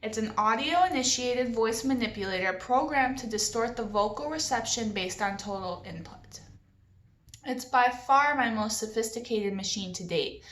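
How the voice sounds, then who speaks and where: A woman's voice: a little high, expressive, normal speed, fairly bright tone. Earnest, easily frustrated young inventor, read by a woman for a children's story, roomy echo. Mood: proud, frustrated.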